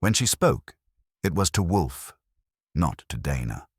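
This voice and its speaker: Guy narrating a detective novel, super low husky voice, lots of rise and fall, dry close sound. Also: big pitch swings, a little slow, dry recording. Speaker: audiobook narrator. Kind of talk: scripted first-person detective story narration.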